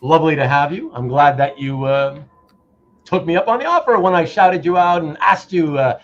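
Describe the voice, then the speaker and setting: A man hosting a casual livestream chat, speaking haltingly and often repeating words and phrases. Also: on the high side, animated. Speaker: host of a live show. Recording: dull, muffled lo-fi audio.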